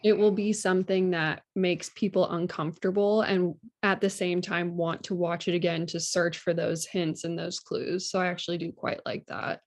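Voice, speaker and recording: A woman giving measured, thoughtful feedback in a casual group discussion on a video call. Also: on the low side, flat delivery. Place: indoors on a virtual meeting.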